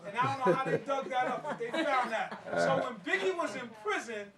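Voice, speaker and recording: A woman's voice: high voice, expressive, a little fast, over a noisy crowd. A woman informally hosting a live event, chatty, casual and joking with the audience. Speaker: host introducing a stage play. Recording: echoey room, distant mic, clear noise.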